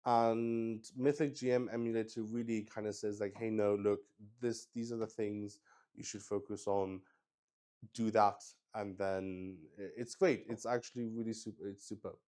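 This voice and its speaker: A man's voice: fairly low pitch, level tone, moderate pace, dark tone. A man hosting a solo tabletop game stream, chatting casually and unscripted in a rambling way that often restarts sentences. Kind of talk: casual livestream chat, unscripted.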